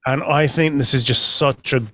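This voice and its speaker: Podcast guest dude, medium voice, thoughtful casual chat, very dry mic. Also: male voice, mid-range voice, steady tone, normal speed, pushed and bright, no echo. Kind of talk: casual discussion.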